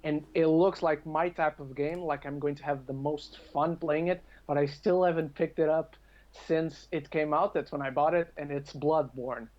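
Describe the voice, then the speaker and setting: Higher-pitched man on a slightly dull recording. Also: steady tone, moderate pace, darkish tone. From Portugal. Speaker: podcast speaker. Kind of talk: casual chat about video games.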